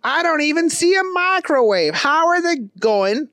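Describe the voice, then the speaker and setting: A high, dopey slob of a man. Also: big pitch swings, fairly bright tone, dry recording, studio quality, heavily acted. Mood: bewildered. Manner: slow, bewildered drawl. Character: dim-witted slobby grown man with bad teeth.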